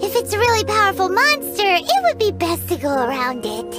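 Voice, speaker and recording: A tiny, naive fairy companion pipes up in an extremely high, squeaky voice. Her delivery is cute and excitable, bouncing all over in pitch. It is game dialogue with loud music behind it. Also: a little fast. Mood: hesitant. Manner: squeaky, cute, childlike, refers to self by name. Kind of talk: video game character dialogue.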